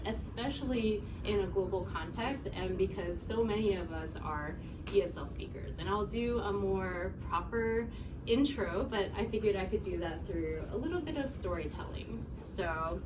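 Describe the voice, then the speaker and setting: A woman in her thirties, raised in South Korea with Korean as her first language, speaks to a small audience at a meetup. She talks in a loose, chatty way, filling gaps with "like" and turning to the room with "right?". A faint hiss sits under her voice. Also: medium pitch. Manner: chatty, frequent "like" and "right?", laughs between points. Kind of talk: live conference talk.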